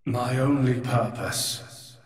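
An actor playing a grave, world-weary creator in a video game, speaking in a measured, solemn, formal way. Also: male voice, mid-range voice, natural voice. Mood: solemn, calm. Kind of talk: dramatic video game cutscene acting. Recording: echoey, cavernous reverb, distant.

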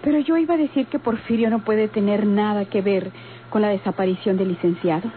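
A woman's voice: medium pitch, fairly quick, close to an everyday voice. A woman playing an earnest, hesitant, worried young Mexican lady in an old-time radio drama. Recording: tinny, hissy old radio recording.

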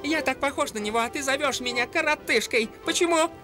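A woman's voice: high-pitched, over music, lightly acted. A woman voicing a small, plucky male animal sidekick in a cartoon, feisty and easily indignant. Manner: feisty, indignant, quick to protest. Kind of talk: cartoon dub.

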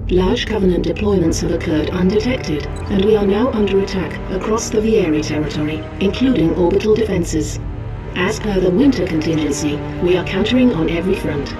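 A woman acting a composed military briefer in a video game. Her voice is fairly low, formal and measured, with music clearly playing behind it. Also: natural voice, low-quality recording. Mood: grave, composed. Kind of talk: scripted military briefing narration.

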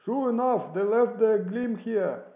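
A man voicing rough pirate henchmen in an adventure storybook, calling out loud reports to their chief. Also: sits high, animated, measured pace, reverberant, narrow, tinny audio, voice pushed up. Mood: urgent. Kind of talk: dramatized adventure storybook reading.

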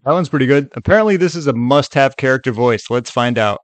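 A man's voice: mid-range voice, expressive, fast, no room sound. A man hosting a casual screen-share tutorial in a chatty way, with joking asides. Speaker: tutorial video host.

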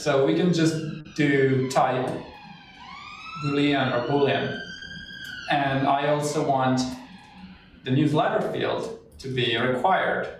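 A man's voice: mid-range voice, heavy reverb, recorded from a distance, slight background noise, low-quality recording. A man from Italy with an Italian accent, walking through a live coding demo and chatting as he thinks aloud while typing. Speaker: cloud developer presenter.

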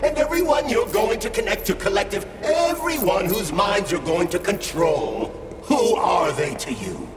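An actor voicing an indignant, sardonic artificial companion in a video game, with an accusatory, emphatic delivery. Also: male voice, sits high, sing-song, a little slow, echoey room, big-room sound, music in the background, heavily acted. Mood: bitterly sarcastic. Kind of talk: video game cutscene acting.

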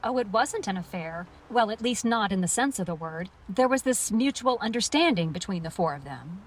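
A woman's voice: medium pitch, animated, moderate pace, lightly acted. A woman playing a candid, concerned and rueful 1950s film actress in a naturalistic audio play. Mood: confiding.

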